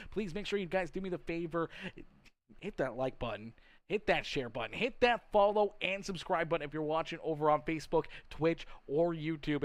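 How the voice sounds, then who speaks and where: A man's voice: on the high side, dry recording. A man hosting a live racing broadcast, talking in a chummy, wordy announcer style as he commentates and interviews drivers. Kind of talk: live motorsport commentary and post-race interview.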